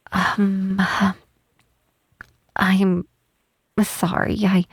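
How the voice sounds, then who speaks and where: Woman performing a tall half-troll cleric lady, shy, wistful, tender, soft husky voice, unhurried hesitant delivery, ASMR roleplay, close mic. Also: on the low side, no echo. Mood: flustered, apologetic. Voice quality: soft, breathy. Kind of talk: ASMR roleplay, intimate softly spoken acting. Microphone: close microphone, dry.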